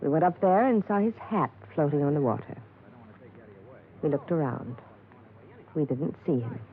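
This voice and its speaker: An actress playing a cool, evasive, wealthy widow in a 1950s radio drama, with an aloof, curt and impatient way of talking. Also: female voice, low voice, animated, moderate pace, phone-quality sound. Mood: guarded. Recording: thin, band-limited old radio recording.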